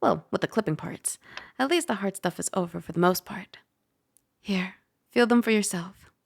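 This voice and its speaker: A woman playing a caring, teasing dragon girl roommate in an ASMR roleplay, soft, chatty and gently instructive. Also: mid-range voice, normal speed, gravelly, no echo, clean studio sound. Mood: gentle, reassuring.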